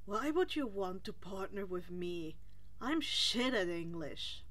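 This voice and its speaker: A woman voicing a guarded, sullen, self-doubting teenage boy in an audiobook story, blunt and halting. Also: medium pitch, lively intonation, a bit bright, voice pushed down. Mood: glaring, blunt. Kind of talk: expressive audiobook character reading.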